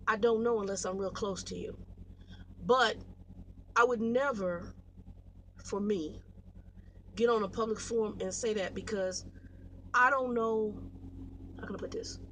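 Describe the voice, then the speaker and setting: A woman's voice: mid-range voice, a little slow, long pauses, poor audio. Blunt American woman vlogging over background noise. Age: 40s. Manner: blunt, animated storytelling with slangy, salty language. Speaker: truck driver vlogger. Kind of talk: rambling casual vlog talk to viewers.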